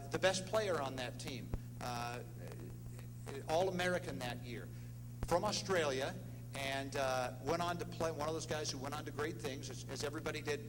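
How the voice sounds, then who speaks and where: A middle-aged man giving an earnest, emphatic ceremony speech in short punchy phrases as a presenter. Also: on the high side. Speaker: presenter at an athletics hall of fame ceremony. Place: indoor ceremony hall with long reverb. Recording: echoey hall, noisy hum.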